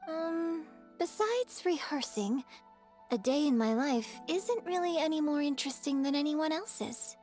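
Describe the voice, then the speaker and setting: A young female opera star in a video game. The voice sits fairly high, with a polite, measured and modest manner. Faint music runs underneath. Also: expressive, darkish tone. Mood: modest, guarded.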